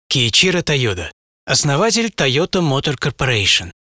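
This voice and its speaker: A man's voice: medium pitch, very animated, moderate pace, no room sound, studio quality. A man telling a dramatized first-person story as a radio presenter, theatrically, savouring short phrases. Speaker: writer and presenter of the programme. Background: loud music bed.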